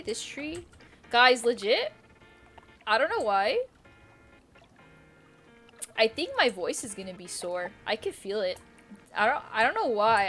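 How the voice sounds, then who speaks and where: A woman live streaming a game, thinking aloud in a casual, rambling, indecisive way and repeating herself. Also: fairly high pitch. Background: quiet music.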